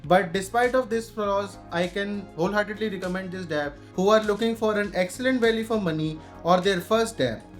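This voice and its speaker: A man with a noticeably high voice and an Indian accent presents a gear review. He reads from prepared narration as a reviewer, going through the points in order. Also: fairly quick, hardly any pauses, soft background music.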